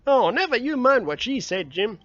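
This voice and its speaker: A man's voice: extremely high pitch, big pitch swings, normal speed, a bit bright, no room sound, voice pushed up, exaggerated voice. A man voicing a scheming young boy who eagerly coaxes and bargains, in a dull, dry recording. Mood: coaxing.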